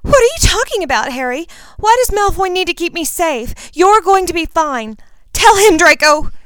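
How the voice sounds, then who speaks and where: Woman playing a high-pitched, distraught teenage girl. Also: sing-song, takes it slowly, dry recording, heavily acted. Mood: frantic pleading.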